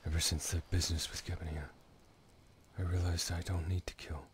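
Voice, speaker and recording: A very deep-voiced, weary father who once killed for a living, played by a man, speaks in subdued game dialogue. His delivery is reflective and fairly level, with noticeable noise behind him. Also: little pitch movement, brisk, natural voice. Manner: subdued, reflective, at times near whispering. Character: weary retired killer, a gruff devoted father.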